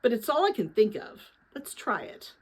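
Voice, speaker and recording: Earnest young boy explorer, thoughtful, hushed, full of wonder, storybook read-aloud performed by a woman. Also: on the high side, very animated, normal speed, slightly rough. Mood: puzzled.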